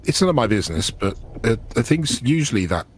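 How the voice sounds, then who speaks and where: A man voicing a concerned companion who asks gently probing, hesitant questions in a tabletop game. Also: mid-range voice, sing-song, fairly quick, soft background music, close to an everyday voice.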